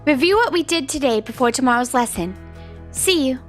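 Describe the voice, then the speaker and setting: A woman acting a high-voiced, proper young teacher. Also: expressive, fairly quick. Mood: strict, composed. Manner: formal, proper. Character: strict, composed young female teacher, flustered underneath. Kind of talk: manga story voice acting. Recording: music bed under the voice. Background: music.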